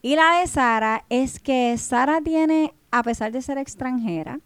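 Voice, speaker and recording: High, clear-voiced woman hosting. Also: lively intonation, measured pace, no room sound. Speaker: podcast host. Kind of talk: conversational interview.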